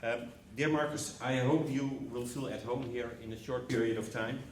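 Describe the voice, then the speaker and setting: A man's voice: medium pitch, steady tone, moderate pace, a little hiss or hum. Hesitant man speaking in a roomy hall. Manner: hesitant, many fillers. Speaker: broadcasting executive welcoming guests. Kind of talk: formal welcome speech in English. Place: hall at a contract signing ceremony. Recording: roomy, distant hall sound.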